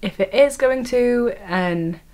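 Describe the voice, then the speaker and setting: A woman's voice: fairly high pitch, takes it slowly, a little mellow. An eighteen-year-old woman with a Welsh accent, talking to a camera on a tripod in her bedroom, nervous and self-deprecating, often stopping and restarting. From Wales. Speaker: student vlogger. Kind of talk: casual vlog to camera.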